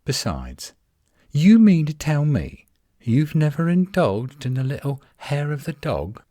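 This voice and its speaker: A man playing a defensive, flirtatious tsundere werewolf in a roleplay audio drama, indignant and sarcastic with a husky voice. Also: fairly high pitch, wildly swooping pitch, measured pace, mellow, no echo, studio quality, full character voice. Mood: exasperated.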